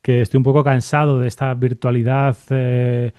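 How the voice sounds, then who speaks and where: A man's voice: mid-range voice, flat delivery, normal speed, dark tone, clear, resonant tone, no room sound, clean studio sound. A man with a Spain Spanish accent hosting a podcast interview, thinking aloud in a casual, reflective way and often checking for agreement. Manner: thinks aloud, seeks agreement with tag questions. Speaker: podcast host interviewing a guest.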